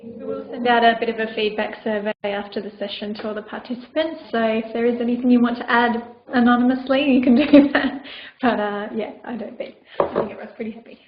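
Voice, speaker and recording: A woman organising the session, speaking briskly and lightly from some distance. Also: fairly high pitch, fairly even intonation, a crowd in the background. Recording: echoey room, far from the mic, dull sound.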